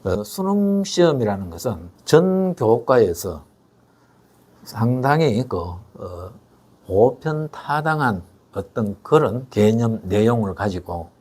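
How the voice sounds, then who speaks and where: A man's voice: medium pitch, slightly rough. Older man explaining steadily. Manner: measured, steady, with frequent 'eo' fillers and rhetorical questions. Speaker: education expert guest. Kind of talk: explanatory interview talk.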